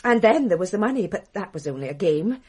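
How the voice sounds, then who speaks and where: An actress playing a titled English wife confessing to murder in a radio drama, bitterly ironic and theatrical, with a British accent. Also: female voice, mid-range voice, very animated, quick pace, a little mellow, slightly rough. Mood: bitter, wistful.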